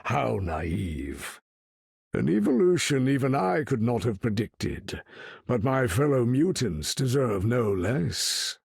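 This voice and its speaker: An actor playing a proud, menacing mutant leader in a video game, speaking in a measured, theatrical, grandiose way with a husky voice. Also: male voice, medium pitch, big pitch swings, a little mellow, no echo, studio quality, exaggerated voice. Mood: solemn, measured. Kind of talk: video game character voice lines.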